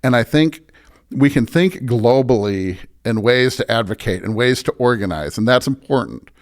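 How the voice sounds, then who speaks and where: A man's voice: medium pitch, animated, moderate pace. A man speaking as a pastor and podcast guest, reflecting earnestly and conversationally, often restarting and checking points with "right?". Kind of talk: conversational reflection on faith and ethics.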